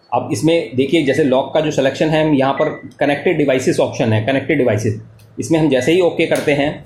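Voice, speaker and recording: A man's voice: medium pitch, a little mellow. A man with an Indian accent giving a step-by-step explanatory product tutorial, repeating key points as he goes. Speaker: product demonstrator. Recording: echoey room with clear background noise.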